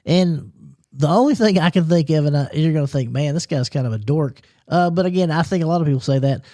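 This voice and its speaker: Mellow-voiced local radio host, dry sound. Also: male voice, a little high, dry recording. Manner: halting, many "uh"s, repeats station ID. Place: small radio studio booth.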